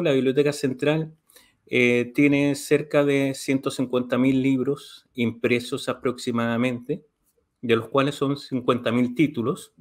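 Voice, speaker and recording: Chilean guy on a webcam call, middle-aged, going through the details steadily, lots of eh's. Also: mid-range voice. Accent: Chilean Spanish. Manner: steady, detailed, with frequent "eh" fillers. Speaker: university library official. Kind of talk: explanatory interview on a video call. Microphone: video call webcam.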